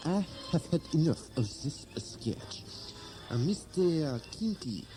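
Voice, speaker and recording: Man playing a slow, exasperated starship captain. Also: on the high side, lively intonation, rough recording. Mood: weary command. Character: exasperated starship captain in a space parody sketch. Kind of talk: hobby sci-fi parody sketch. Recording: loud music over the voice.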